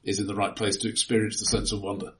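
A man with a slightly low voice chats about films as a co-host. His manner is analytical and conversational, with hesitant restarts as he works through a point. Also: little pitch movement, fast talker. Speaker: podcast co-host reviewing films. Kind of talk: casual film discussion.

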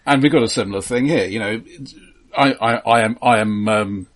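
A man co-hosting a film podcast in casual discussion, speaking in a halting way with dry asides. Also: on the low side.

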